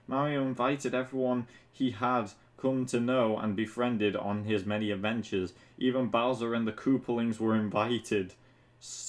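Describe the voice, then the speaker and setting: A man reading fan fiction aloud as a narrator, breaking off into casual, mocking asides with some swearing. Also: mid-range voice. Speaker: reader of fan fiction for an online video.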